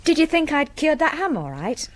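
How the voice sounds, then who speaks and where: A woman playing a brisk, confident, commanding farmer's wife in a radio drama. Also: sits high, very animated, dry recording. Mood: anxious, tender.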